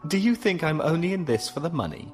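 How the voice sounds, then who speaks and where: An actor playing a smug, urbane arms merchant in a sci-fi audio drama, condescending and teasing. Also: male voice, a little high, big pitch swings, fast talker, faint music behind. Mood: smug calm. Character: smooth-talking, smugly amused male arms merchant.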